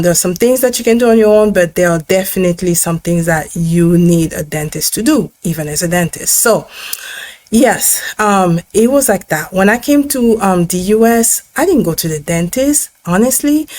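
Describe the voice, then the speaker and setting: Middle-aged woman dentist from congo, kinda low voice, stops and restarts a lot, dry close sound. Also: darkish tone, no room sound. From Democratic Republic of Congo. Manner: thoughtful, halting, many fillers and restarts. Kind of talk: personal story to camera.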